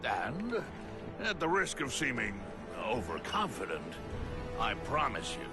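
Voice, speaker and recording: A suave, scheming tycoon villain in a video game. He talks slowly and pompously, with theatrical swoops and a creaky edge, over clear music. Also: mid-range voice, full character voice. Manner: slow, silky, pompous, theatrical. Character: suave, scheming male crime-lord tycoon.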